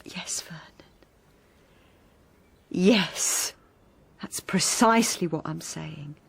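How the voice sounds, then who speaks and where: A woman acting in a radio drama as a middle-aged English wife, wistful and quietly defiant, halting and often dropping to a whisper. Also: low voice, creaky, slightly rough, exaggerated voice. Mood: wistful, resolute. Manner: halting, repeats phrases, often drops to a whisper. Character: weary long-married wife newly wistful and quietly defiant. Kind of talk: radio drama acting, intimate dialogue and narration.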